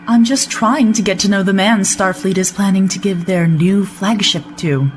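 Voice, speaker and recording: An actress playing a proud, combative starship commander in an audio drama, sarcastic and blunt. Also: female voice, medium pitch, moderate pace, faint music behind, natural voice. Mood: needling.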